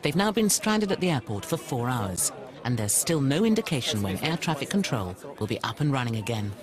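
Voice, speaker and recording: Lively male documentary narrator over crowd noise. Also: medium pitch, a little fast.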